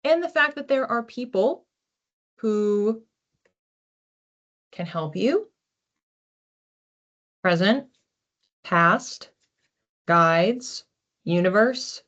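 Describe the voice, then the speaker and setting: A woman hosting an informal psychic card reading in a conversational, reflective way, with animated asides. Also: mid-range voice, takes it slowly, long pauses, muffled recording. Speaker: psychic card reader, host.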